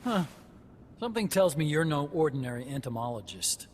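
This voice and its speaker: A man's voice: on the high side, very animated, a little fast, background noise. An actor voicing an earnest, talkative young male engineer scientist who explains things at length in a game cutscene. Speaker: game character. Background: game score music.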